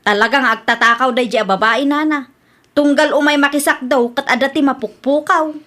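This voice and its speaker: A woman's voice: high voice, fairly quick, a bit bright. A woman with an Ilocano accent playing a fed-up, accusing wife in a comedy radio drama. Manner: complaining, exclamatory.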